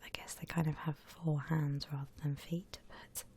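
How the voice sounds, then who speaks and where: A woman whispering gently and breathily as she guides listeners through a drawing, in the style of an ASMR tutorial. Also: sits low, little pitch movement. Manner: gentle, unhurried step-by-step explaining. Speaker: host of an art ASMR channel.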